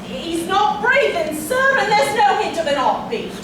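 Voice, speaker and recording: A flustered, deferential manservant, played by a woman in a stage murder mystery. The voice is very high and swings widely in pitch, hesitant and theatrical, heard from a distance in a noisy hall. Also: very animated, a little slow, full character voice. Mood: deferential, uneasy. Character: deferential, flustered male manservant in a country manor. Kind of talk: theatrical staged whodunit acting. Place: stage in a hall, recorded from afar.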